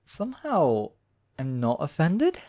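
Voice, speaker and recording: A man's voice: a little high, wildly swooping pitch, slow, warm tone, full character voice. A man voicing a devoted demon king in an intimate ASMR roleplay, speaking in a courtly, tender and teasing way. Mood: fond, teasing. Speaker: voice actor in audio roleplay. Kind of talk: ASMR romantic roleplay acting.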